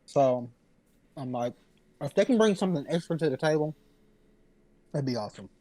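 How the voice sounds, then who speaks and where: A man's voice: fairly high pitch, lively intonation, normal speed, warm tone, no echo. A man chatting casually as a panelist, giving his opinion informally. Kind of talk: casual chat.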